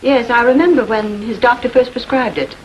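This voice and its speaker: An actress playing a defensive, haughty estranged wife in a 1940s filmed crime drama, fiercely protective and quick to take offence. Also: female voice, a little high, highs cut off, natural voice. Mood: bitter, defensive. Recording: noisy old 1940s film soundtrack.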